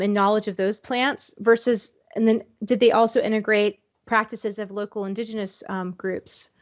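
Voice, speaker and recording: Female host, fairly high voice, thoughtful and conversational, slightly dull video-call sound. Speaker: host interviewer. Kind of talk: conversational interview.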